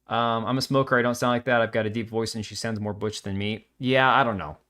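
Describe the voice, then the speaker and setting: A middle-aged man hosting a live stream, chatting casually and reacting to chat with quick jokey asides. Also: fairly low pitch, fairly even intonation, a bit bright. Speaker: livestream host.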